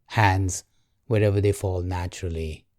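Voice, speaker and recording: A man guiding a meditation in a calm, gentle, measured way, leaving long pauses. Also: sits low, dry recording, studio quality. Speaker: meditation guide. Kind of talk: guided meditation, slow and soothing.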